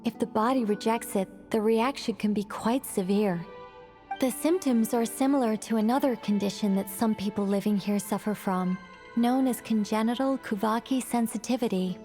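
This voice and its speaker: A composed woman scholar voiced by an actress lays things out in a clear, slightly high voice. She is methodical and exact, every step explained without hurry. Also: normal speed, dark tone, soft background music, lightly acted. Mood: explaining. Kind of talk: video game cutscene voice acting.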